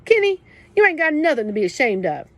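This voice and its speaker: A tough teenage brother , played by a woman reader, talks down in blunt streetwise slang. He is gruff and scolding, yet protective underneath. The voice sits a little raised, as in a storybook audiobook. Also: sits high, sing-song, fast talker, dry recording, pitched above the performer's own voice. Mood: gruff comforting.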